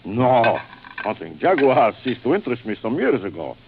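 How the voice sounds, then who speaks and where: An actor playing a suave, proud general and big-game hunter, speaking in a courtly, theatrical way in a vintage radio drama. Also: male voice, mid-range voice, very animated, moderate pace, slightly rough. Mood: proud, boastful. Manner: courtly, formal, theatrical, savouring his words. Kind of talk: 1940s radio acting. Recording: muffled, noisy vintage radio recording.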